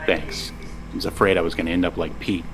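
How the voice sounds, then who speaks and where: Actor as an exasperated low-voiced man, down on his luck. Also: steady tone, fast, over music, natural voice. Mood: insistent, weary. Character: exasperated, down-on-his-luck man, obsessed podcaster hunting lizard conspiracies. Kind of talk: naturalistic audio drama acting.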